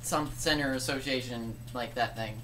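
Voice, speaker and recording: A woman's voice: extremely low pitch, fairly even intonation, normal speed, noisy background. A woman co-hosting a story stream in casual, informal chat. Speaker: co-host of improv story stream.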